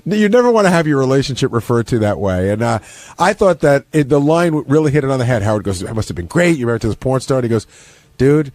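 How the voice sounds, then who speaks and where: Radio commentator, male, middle register, quick delivery, chatty storyteller, dry studio sound. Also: medium pitch, animated, darkish tone, no room sound. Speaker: radio show staffer and commentator. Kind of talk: casual radio recap chat.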